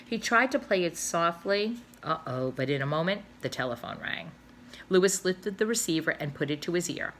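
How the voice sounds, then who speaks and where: A woman teacher reading a children's novel aloud, expressive, with little asides and self-corrections. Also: fairly low pitch, moderate pace, a little hiss or hum.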